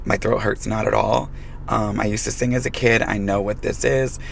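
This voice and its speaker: Hoarse man with a gravelly voice. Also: lowish voice, steady tone, normal speed, a little hiss or hum. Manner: frank, direct advice to fellow stylists. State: hoarse, losing his voice. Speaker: hair colorist. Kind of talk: hair tutorial vlog.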